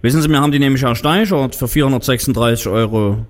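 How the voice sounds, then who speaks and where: A man's voice: medium pitch, fast. A man making a radio prank call, playing dumb with mock-earnest confusion, with a Berlin accent.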